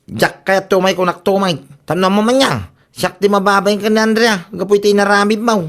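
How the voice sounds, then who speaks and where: An actor with an Ilocano accent playing a reluctant, exasperated henpecked husband in a radio comedy drama. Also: male voice, sits high, normal speed, a bit bright.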